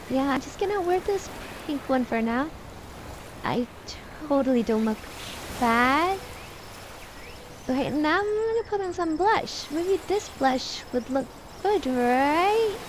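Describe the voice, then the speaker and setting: A woman as the bossy, dramatic young mom in a homemade dollhouse cartoon roleplay, high voice over faint noise. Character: bossy, disapproving young mom of a little girl. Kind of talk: amateur voiced family roleplay.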